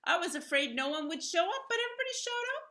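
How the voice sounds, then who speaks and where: A woman's voice: very high voice, sing-song, racing, bright, forceful tone. A woman founder hosting a live video-call event, talking enthusiastically and promoting the festival. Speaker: host and founder of a theater.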